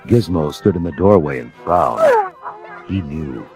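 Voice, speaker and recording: Very animated low-voiced male storyteller. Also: unhurried, soft background music. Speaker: narrator. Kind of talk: dramatic storybook narration.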